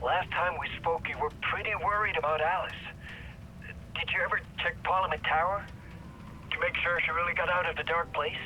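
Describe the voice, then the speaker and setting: A cryptic male confidant in a game, urgent and probing over the telephone. The voice is sharp and forceful, pitched a little high for a man, with faint noise on the line. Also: fairly even intonation, fairly quick, rough recording. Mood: grave warning. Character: cryptic, insistent male ally on the phone. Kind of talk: dramatic game dialogue.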